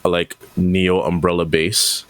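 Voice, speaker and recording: A man's voice: sits low, level tone, slow pace, a bit bright, dry recording. A man co-hosting a podcast, chatting casually in a wry, slangy, informal way. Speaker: podcast co-host. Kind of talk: casual chat.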